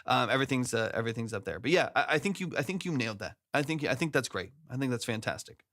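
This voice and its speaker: A man's voice: medium pitch, animated, quick pace, fairly bright tone. A man, a music producer, giving enthusiastic feedback on a song during a casual livestream, praising it often and restarting his sentences.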